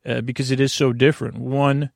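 A man hosting a bedtime podcast in a rambling, halting monologue, circling back and losing his thread, with a creaky, gravelly voice. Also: mid-range voice, little pitch movement, no echo, clean studio sound. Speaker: podcast host. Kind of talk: rambling bedtime monologue to help listeners sleep.

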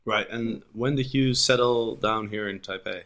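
A man's voice: lowish voice, fairly even intonation, measured pace, a bit bright. A man hosting a casual podcast interview, talking loosely with many fillers. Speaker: podcast host. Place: in a room in a city abroad.

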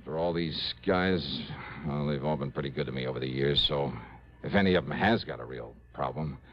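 An actor playing a harried, wry insurance investigator in a radio drama, stammering protests and muttering wearily. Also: male voice, extremely low pitch, brisk. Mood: weary muttering. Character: harried, exasperated male insurance investigator, wry and soft-hearted. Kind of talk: 1960s radio drama acting. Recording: dull, hissy old radio broadcast.

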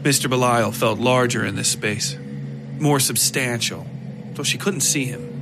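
A man reads scripted dark fiction with a deliberate, theatrical delivery. His pitch sits in an ordinary middle range for a male voice, and clear music plays behind him throughout. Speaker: narrator of a horror fiction podcast. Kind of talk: scripted dramatic story narration.

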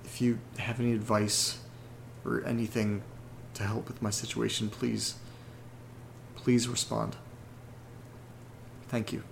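A man playing an uneasy horror podcast host who reads letters aloud, earnest and deliberate, with pauses between phrases. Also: fairly low pitch, little pitch movement, vocal fry, a little hiss or hum. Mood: uneasy, troubled. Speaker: audio drama podcast host character reading listener letters. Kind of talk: dramatic narration in a horror audio drama.

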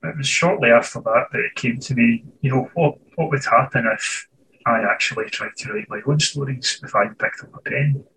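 A man's voice: medium pitch, normal speed, creaky, far from the mic, noisy background. A Scottish man being interviewed over a video call from his writing office, thinking aloud and restarting phrases as he goes. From Scotland. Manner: thoughtful, restarts phrases while searching for words. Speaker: author guest.

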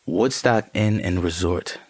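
Easygoing, lowish-voiced male partner. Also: slow pace, slightly rough, no room sound, full character voice. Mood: mild, teasing. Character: easygoing, gently teasing husband-type partner. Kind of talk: naturalistic audio drama dialogue.

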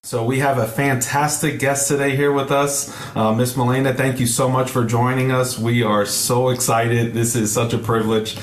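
A man's voice: mid-range voice, flat delivery, moderate pace, hardly any pauses, slight background noise. A man hosting a podcast, chatting casually with effusive praise. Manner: effusive, complimentary. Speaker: podcast host. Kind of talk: casual show introduction and chat.

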